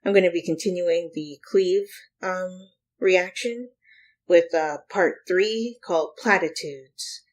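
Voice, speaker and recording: Low-voiced woman giving hesitant reactions. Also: slow pace. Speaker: host of a reaction show. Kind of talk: casual live reaction commentary. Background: game music and the story reading being reacted to.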